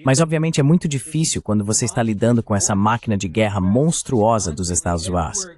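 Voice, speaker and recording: Low-voiced man giving emphatic political commentary. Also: quick pace, a little mellow. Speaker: guest geopolitical analyst. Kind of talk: political commentary, dubbed voice-over.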